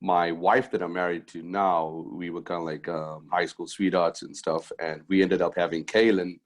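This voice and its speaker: A man from Zimbabwe with a very low, deep voice talks through his past in a loose, rambling way. He restarts sentences and fills gaps with "um" and "you know." He is a middle-aged basketball coach chatting as a podcast guest. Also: level tone, normal speed. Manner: rambling, many fillers and restarts. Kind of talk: casual interview chat.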